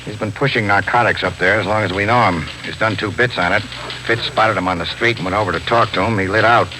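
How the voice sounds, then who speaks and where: A man's voice: on the low side, fast, close to an everyday voice. A man playing a terse, practical police detective lieutenant in a 1950s radio drama, speaking in a plain, businesslike way. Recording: noisy old 1950s radio recording.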